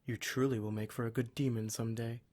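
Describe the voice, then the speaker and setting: A smug male demon, acted out by a man, addresses his captive listener in an ASMR roleplay. His voice sits at a middling pitch. He speaks in measured, formal phrases, condescending and full of menace. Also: level tone, darkish tone, close to an everyday voice. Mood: coldly threatening.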